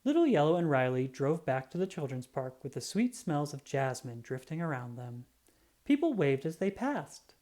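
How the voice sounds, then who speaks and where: Low, mellow woman reading a storybook expressively. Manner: expressive, drawn-out emphasis on sound words. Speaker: children's book reader. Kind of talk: animated read-aloud storytelling.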